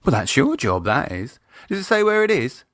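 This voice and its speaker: A man's voice: on the high side, huge pitch swings, normal speed, a bit bright, no room sound, clean studio sound, pitched above the performer's own voice. A man voicing an exasperated middle-aged British wife and mother in an audiobook, colloquial, grumbling and sardonic, with a flirty streak.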